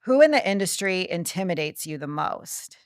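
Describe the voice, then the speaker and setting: Woman podcast host, lower register, brisk interviewer, dry sound. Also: very animated, no echo. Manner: brisk questions, frequent short affirmations. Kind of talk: interviewing, asking set questions.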